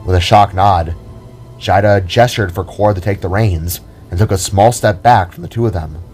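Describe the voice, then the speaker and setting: A man's voice: deep voice, moderate pace, darkish tone, soft background music. A man narrating a scripted audio drama in a dramatic storytelling style.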